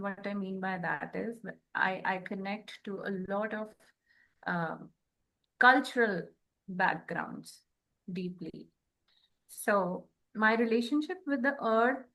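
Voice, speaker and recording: A woman in reflective spiritual conversation, speaking in short phrases with frequent pauses. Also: mid-range voice, fairly bright tone, poor audio. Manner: halting, pausing between short phrases. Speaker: podcast co-host.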